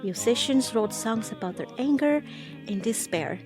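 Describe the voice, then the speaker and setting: A woman's voice: fairly high pitch, lively intonation, normal speed, over loud music. A woman from the United States narrating a documentary about belonging, in an earnest, reflective way.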